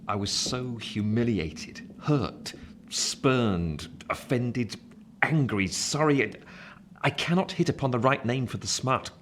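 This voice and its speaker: A man's voice: mid-range voice, lively intonation, takes it slowly, a little hiss or hum. A man acting a reflective narrator who recalls his boyhood in a scripted radio drama. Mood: anguished.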